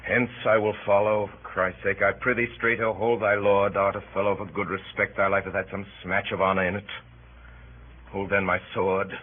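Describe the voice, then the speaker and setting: Defeated Roman general, male actor: low, noble, weary, declamatory; old scratchy radio drama sound. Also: flat delivery, close to an everyday voice. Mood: resolute, weary. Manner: solemn, measured, declamatory verse delivery. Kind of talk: 1930s radio Shakespeare acting. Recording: scratchy, muffled 1930s radio broadcast.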